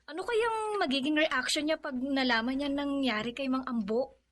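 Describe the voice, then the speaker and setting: A woman acting a friendly young bride-to-be in a radio drama, chatty and expressive. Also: sits high, moderate pace. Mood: hesitant.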